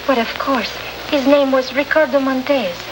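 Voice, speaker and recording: A woman's voice: high-pitched, moderate pace, close to an everyday voice. An actress playing an earnest, helpful young woman assisting an agent in an old radio drama. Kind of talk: 1950s radio drama acting. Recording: noisy old radio recording, sizzling hiss.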